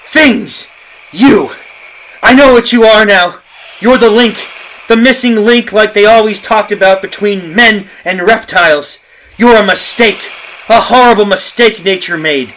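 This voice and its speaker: An actor playing a guilt-ridden, terrified dead man in a horror radio play, narrating melodramatically and haltingly with repeated phrases. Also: high voice, fairly bright tone, slight background noise, rough recording. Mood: panicked defiance.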